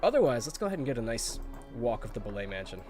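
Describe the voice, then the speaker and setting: A man's voice: medium pitch, sing-song, fast talker, darkish tone, soft background music. A congested man with a cold giving chatty, nostalgic commentary while streaming a video game, laughing often.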